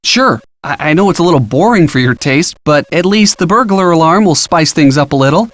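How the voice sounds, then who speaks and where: An upbeat young American man coaches a detective through an adventure game. His voice sits a little high and moves in a lively way, casual and encouraging, with playful asides. The sound is close and dry. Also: fairly quick, no echo. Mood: playful, thoughtful. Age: young adult. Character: helpful upbeat college-age boyfriend giving detective hints. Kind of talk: scripted game hint dialogue.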